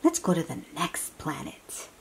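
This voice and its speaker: A woman hosting a picture-book read-aloud for young children, exclaiming and asking coaxing questions in an animated manner. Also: low voice, measured pace, breathy edge.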